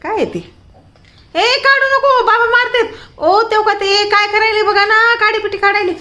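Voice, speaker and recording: A woman's voice: very high voice, normal speed, sharp tone. A woman with a rural Maharashtrian Marathi accent chats casually to a small child at home, scolding and coaxing with short repeated commands. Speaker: mother filming her toddler for a family vlog. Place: indoors at home. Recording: noisy home phone recording.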